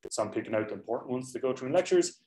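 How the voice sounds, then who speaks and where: A man's voice: mid-range voice, expressive, rapid-fire, warm tone. A young man giving an informal university lecture in a chatty, self-correcting way, heard through a laptop mic on the desk. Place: lecture hall. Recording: echoey lecture hall.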